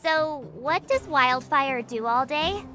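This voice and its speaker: An actress playing an inquisitive young girl adventurer in a video game, with a lively, pointed delivery. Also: sits high, takes it slowly, full character voice. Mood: curious. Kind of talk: English video game dub acting. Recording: music under dialogue. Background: background game music.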